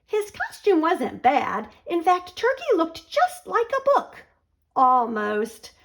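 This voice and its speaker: High-voiced, animated woman reading a picture book. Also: takes it slowly, creaky. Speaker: children's librarian storyteller.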